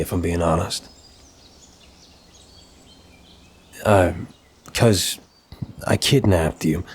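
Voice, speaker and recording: Man acting a low-voiced, smitten mafia boss. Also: slightly rough. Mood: earnest, hesitant. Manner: soft-hearted, halting, teasing, courteous. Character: apologetic, smitten, flirtatious male mafia boss. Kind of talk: intimate ASMR roleplay audio drama.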